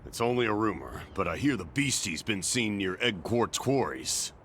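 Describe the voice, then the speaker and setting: Hearty old sand-ship skipper, animated. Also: male voice, fairly low pitch, normal speed, slight background noise. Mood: grateful, subdued. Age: older. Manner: folksy nautical drawl of phrasing. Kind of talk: video game cutscene acting.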